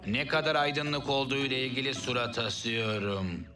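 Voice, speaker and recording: A man's voice: mid-range voice, fairly even intonation, moderate pace, over music, close to an everyday voice. Man voicing a distant, sulky cartoon grump. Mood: sulky, dismissive. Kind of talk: dubbed children's cartoon acting.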